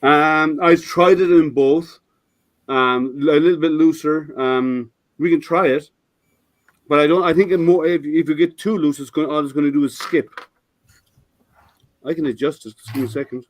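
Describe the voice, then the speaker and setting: Older man, a bit scratchy and halting. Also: on the high side, no room sound. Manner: halting, many restarts and fillers while explaining. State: slightly scratchy voice. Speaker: streamer showing his 3D printer build. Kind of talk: casual live-stream chat.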